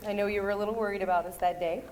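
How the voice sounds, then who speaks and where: A woman reads a sincere thank-you speech in a steady voice, adding a few light jokes. Faint noise sits behind her. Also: medium pitch. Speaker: nursing graduate giving a speech.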